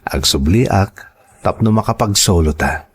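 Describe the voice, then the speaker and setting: A man's voice: sits low, lively intonation, unhurried, pitched below the performer's own voice. A man playing a dutiful, earnest grown son and husband with an Ilocano accent in an audio drama. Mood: earnest, coaxing.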